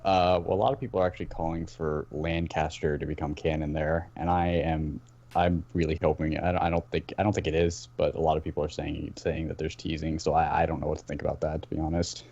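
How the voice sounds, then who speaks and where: A man's voice: extremely low pitch, little pitch movement, fast, a little mellow, highs cut off. A man chatting casually as a podcast guest, often stammering and repeating his words. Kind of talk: casual fan discussion.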